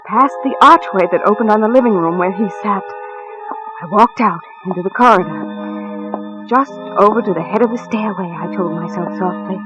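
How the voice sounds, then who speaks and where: Frightened married woman voiced by an actress, vintage radio suspense, halting confessional delivery, mid pitch. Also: highs cut off, close to an everyday voice. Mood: anxious, regretful. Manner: hesitant, halting, repeating words. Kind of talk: 1940s radio drama acting. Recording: vintage 1940s radio recording, faint music.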